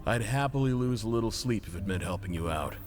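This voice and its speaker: An actor playing a friendly, obliging male staff worker in a video game, talking in a warm, easygoing way. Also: lowish voice, expressive, quick pace, lightly acted. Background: game music.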